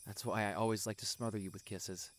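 A man playing a tender, devoted young boyfriend in a romantic roleplay audio, speaking softly and earnestly. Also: on the low side, level tone, lightly acted. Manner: soft, tender, earnest, unhurried.

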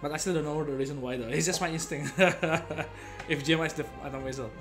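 Excitable, higher-voiced man streaming a game. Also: a little fast. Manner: excitable, repeats himself. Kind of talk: reaction commentary over gameplay. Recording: game music behind.

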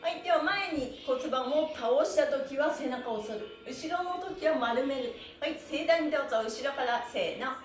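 A middle-aged woman with a high-pitched voice guides a livestreamed exercise class. She is cheerful, polite and full of encouragement. The mic sits well away from her, so the bare room echoes around her words. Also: moderate pace, hardly any pauses, soft background music. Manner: cheerful, encouraging, laughing often; polite, playfully adds honorifics to body parts. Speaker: stretching instructor, livestream host. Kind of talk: live exercise class with counted chants. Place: small bare-walled room with wooden floor, indoors. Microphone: camera mic a few metres away.

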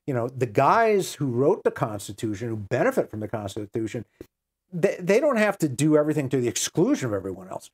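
An American man in his sixties speaks at an ordinary male pitch in a conversational commentary style. He stresses his points by repeating phrases and posing rhetorical questions, as a guest in a discussion. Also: a little mellow, slightly rough. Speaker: interview guest. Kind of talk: conversational political and religious commentary. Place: small book-lined room indoors. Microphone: lapel mic.

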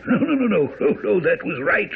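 Muffled radio play, desperate dying old father, gravelly, pitch all over the place. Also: sing-song, fairly quick, full character voice. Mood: urgent, weakening. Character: frantic dying old father confessing a secret. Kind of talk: radio drama acting. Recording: muffled, faintly noisy old radio broadcast.

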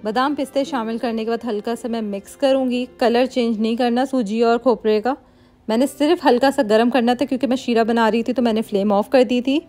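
A woman hosting a cooking channel, calmly explaining a recipe step by step in a voice-over and mixing English words into her talk. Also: on the high side, brisk, faint music behind. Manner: calm, instructive, mixes English words into Hindi.

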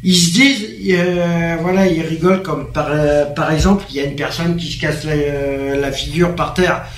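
A man's voice: a little high, fairly even intonation, normal speed. Agreeable man, echoey room. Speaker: panel guest. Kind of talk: casual studio discussion. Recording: echoey room, faint background noise.

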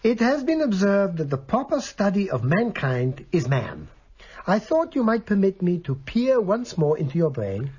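An actor playing a calm, probing doctor and hypnotist in a radio drama, with a measured, courteous, formal manner. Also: male voice, sits high, slightly rough, highs cut off, full character voice. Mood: courteous, thoughtful.

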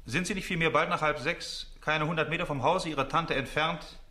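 A man's voice: on the high side, a little fast, bright, forceful tone. An actor playing an insistent, sceptical prosecuting barrister in a courtroom radio play, formal and pointed in his questioning. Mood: sceptical, pressing.

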